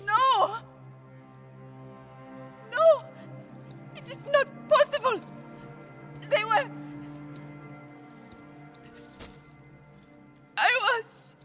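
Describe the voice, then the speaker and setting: An actress playing a passionate, headstrong young princess and scientist with an African accent, speaking urgently in a dramatic video game. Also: female voice, very high voice, very slow, pauses often, pushed and bright, heavily acted. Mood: distraught. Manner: earnest, urgent. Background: faint game music.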